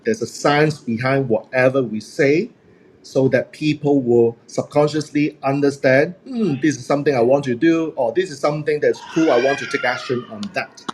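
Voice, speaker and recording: A man's voice: medium pitch, moderate pace, a little hiss or hum, low-quality recording. A man whose first language is Cantonese, hosting a group chat about speaking on stage, upbeat and laughing at himself. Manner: upbeat, self-deprecating, laughs often. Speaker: host and speaking coach.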